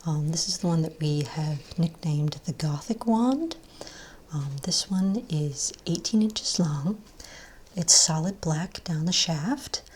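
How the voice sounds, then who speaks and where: A woman speaking softly and breathily, often nearly whispering, as a gentle, unhurried, reassuring shopkeeper in an ASMR role play. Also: low voice, animated, husky. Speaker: ASMR role-play performer as wand shop owner. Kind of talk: soft-spoken ASMR role play, improvised sales patter.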